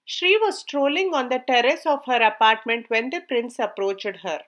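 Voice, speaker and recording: A woman narrating a storybook tale in a measured, careful read-aloud manner. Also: on the high side, a bit bright.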